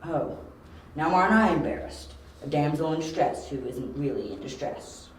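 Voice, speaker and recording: A man's voice: a little high, normal speed, close to an everyday voice. Man playing a wry undercover detective, very echoey. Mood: dry, caught out. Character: quick-witted male detective undercover, wry and unflappable. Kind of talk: amateur audio drama acting. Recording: very echoey, distant, faint noise.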